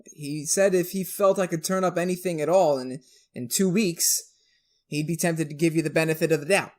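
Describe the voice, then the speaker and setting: Weary, earnest advocate for the doomed, played by a woman: low register, halting, subdued, period radio drama. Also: a little mellow, natural voice. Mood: anguished, regretful. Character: weary, earnest defender of a condemned friend. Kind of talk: 1940s radio drama acting.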